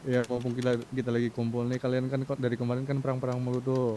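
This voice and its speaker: Mellow young Indonesian man, Jakarta accent. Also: medium pitch, monotone, fast, slight background noise. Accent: Indonesian, Jakarta colloquial. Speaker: GTA roleplay gamer in a group meeting. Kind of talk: casual group discussion in an online game. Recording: gaming mic with clicks and typing.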